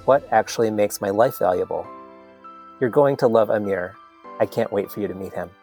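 A man's voice: lowish voice, moderate pace, a little mellow, faint music behind. A man hosting a podcast who introduces his guest earnestly and speaks with open, heartfelt praise. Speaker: podcast host.

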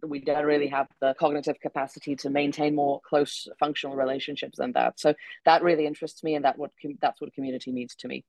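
A woman's voice: sits low, little pitch movement, a little mellow, no echo, low-quality recording. A young Czech woman with a British English accent, chatting as a guest on a remote call and laughing often. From Czech Republic. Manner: quick, chatty, with many "kind of" and "like" fillers and frequent laughs. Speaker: guest, researcher. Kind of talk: casual interview chat.

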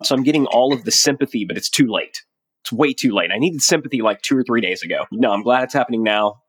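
A man's voice: medium pitch, expressive, fast talker, fairly bright tone, breathy edge. A man co-hosting a business podcast, joking and easygoing, sounding nasal from a sinus infection. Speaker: podcast co-host. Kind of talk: casual business podcast chat.

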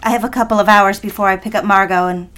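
A woman's voice: medium pitch, fairly even intonation, quick pace, bright, forceful tone, smooth, natural voice. A woman playing a bitter, jealous young mother in a period audio drama. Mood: bored, discontented.

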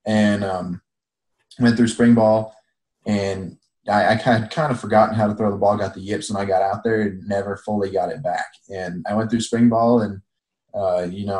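A young man, a college football player, calmly recounting his story in a casual interview. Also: lowish voice, reverberant, low-quality recording. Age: young adult.

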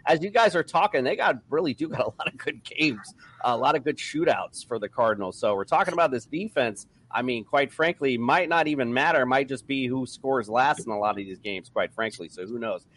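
A man's voice: medium pitch, animated, fairly quick, a bit bright. A man hosting a casual sports podcast, upbeat, addressing each guest as "sir". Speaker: podcast host.

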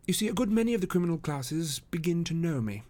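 A gentleman detective from a storybook, voiced by a male narrator. He speaks in a raised, precise voice, commanding and self-assured with quiet amusement underneath. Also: on the high side, expressive, fast talker, voice pushed up, natural voice. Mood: self-satisfied.

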